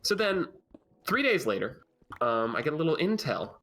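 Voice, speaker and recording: A young man tells a joking story on a game stream, his voice bright and lively, swinging up and down as he goes. He talks into a desk mic at his computer, with faint music underneath. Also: a little high, moderate pace. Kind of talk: casual chat on a game stream.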